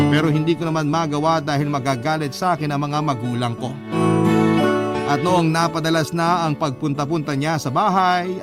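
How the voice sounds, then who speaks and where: A man narrating a listener's letter for a radio love-story drama in a steady storytelling read. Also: on the high side. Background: loud guitar music.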